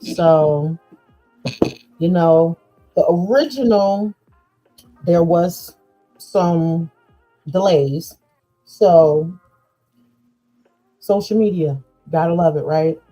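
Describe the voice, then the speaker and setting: A woman hosting a podcast, chatting slowly and loosely with lots of fillers. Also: on the low side, dark tone, soft background music, low-quality recording. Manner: slow, drawn-out, chatty with many fillers. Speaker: podcast host. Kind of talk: casual chat.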